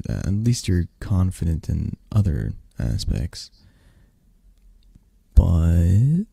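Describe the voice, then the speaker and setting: A man voicing an affectionate, teasing young male dragon in an intimate ASMR roleplay, speaking in a soft, gentle, drowsy murmur. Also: low voice, gravelly, slightly rough, dry recording, heavily acted. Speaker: roleplay audio performer.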